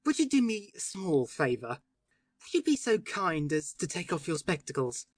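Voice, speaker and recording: A woman voicing an impassioned young male detective poet in an audiobook, excitable and declamatory. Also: medium pitch, normal speed, no echo, clean studio sound, natural voice. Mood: courteous. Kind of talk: audiobook character voice.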